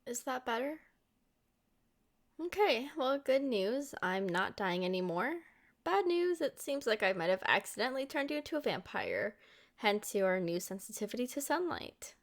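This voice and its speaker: A woman playing a centuries-old but young-looking vampire girlfriend in an ASMR roleplay, talking sweetly and affectionately to the listener in an expressive way. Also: a little high, moderate pace. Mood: apologetic, concerned.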